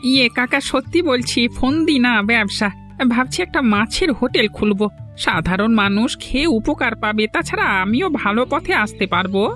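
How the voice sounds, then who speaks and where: A man's voice: very high-pitched, expressive, moderate pace, bright, forceful tone, full character voice. A man voicing a scheming middle-aged village thief in a cartoon, theatrical and ingratiating, with a West Bengal Bengali accent. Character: scheming middle-aged village thief turned eager fish-hotel owner. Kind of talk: cartoon voice acting. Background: music.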